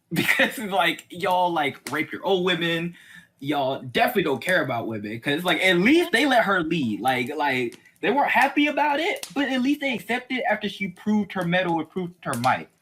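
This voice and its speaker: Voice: mid-range voice, big pitch swings, moderate pace, a bit bright, a little hiss or hum. A podcast co-host chatting casually with opinions, rambling and often qualifying points, sometimes acting out what others might say.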